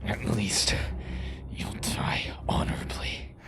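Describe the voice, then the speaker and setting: An actor playing a cold, taunting villain in an audio drama. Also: male voice, takes it slowly, whispered, natural voice. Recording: loud music over the voice.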